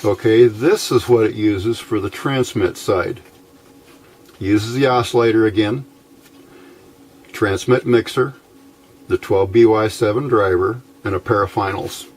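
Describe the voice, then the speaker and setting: A man giving a casual, unscripted technical explanation as a hobbyist presenter, haltingly, thinking aloud as he goes. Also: on the low side, husky. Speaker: amateur radio hobbyist presenting a how-to video.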